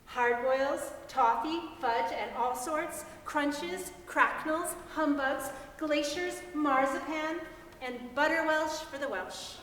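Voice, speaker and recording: A woman giving an expressive, deliberate dramatic reading of a literary story. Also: high-pitched. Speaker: reader in a church's dramatic reading. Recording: very echoey hall, distant mic, faint noise.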